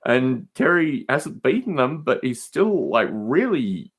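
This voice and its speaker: A man talks casually as a podcast guest. His pitch sits in a middle register but swings widely, and he leans on words for emphasis. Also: sing-song, slow, breathy edge. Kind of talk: casual conversation.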